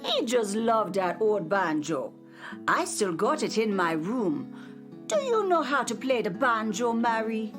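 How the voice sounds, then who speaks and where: A woman playing a gentle elderly widow in a nursing home in a radio play, with homespun talk. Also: a little high, big pitch swings, measured pace. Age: older. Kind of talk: radio drama acting. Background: music.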